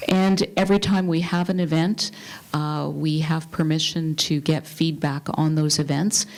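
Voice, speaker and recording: Measured low-voiced woman. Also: level tone, a little mellow. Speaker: panelist, community organization co-founder. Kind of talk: panel discussion. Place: university event hall.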